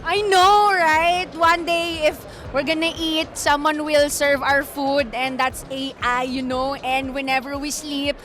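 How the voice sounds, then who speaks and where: A Filipino-accented woman with a very high voice hosts interviews at a busy event, playful and quick to giggle, slipping between English and Tagalog. Loud music plays behind her. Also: fairly even intonation. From Philippines. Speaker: interview host. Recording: music playing loudly behind.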